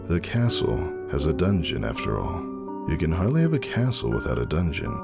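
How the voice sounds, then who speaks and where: A man narrating a dramatic first-person story in a measured, somber manner. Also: extremely low pitch, wildly swooping pitch, gravelly, loud music behind the voice.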